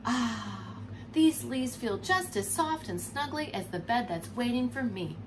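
A woman voicing a weary, affectionate female marmot in a children's storybook, in a drowsy, drawn-out way. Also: a little high, animated, background noise. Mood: sleepy.

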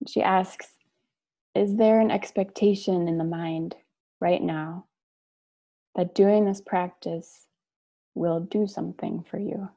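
A woman leading a guided meditation, speaking and reading aloud in a gentle, measured way with long pauses between short phrases. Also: on the low side, fairly even intonation. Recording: dull lo-fi online call audio.

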